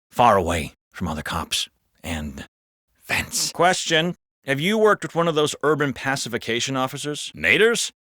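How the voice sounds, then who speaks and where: An actor playing a jaded, foul-mouthed, sardonic police officer in a video game. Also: male voice, medium pitch, sharp tone, no echo, clean studio sound. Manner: gossipy, sardonic, swearing. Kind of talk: scripted video game voice acting.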